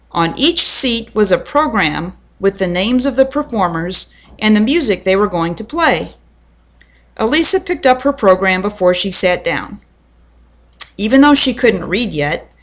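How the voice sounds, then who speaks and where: Woman, storyteller, mid-pitched, scripted children's story narration. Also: fairly bright tone. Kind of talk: children's story read aloud.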